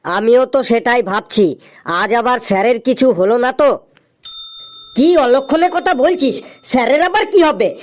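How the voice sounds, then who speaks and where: High-pitched excitable village schoolchild voiced by a woman. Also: close to an everyday voice. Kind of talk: Bengali comedy cartoon dialogue.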